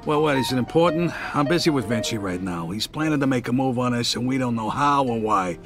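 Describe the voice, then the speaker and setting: An actor playing a middle-aged American mob underboss, friendly but calculating, with casual streetwise tough talk in a crime game. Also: male voice, mid-range voice, fairly quick, music in the background, close to an everyday voice. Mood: businesslike, curt. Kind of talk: cinematic crime game dialogue.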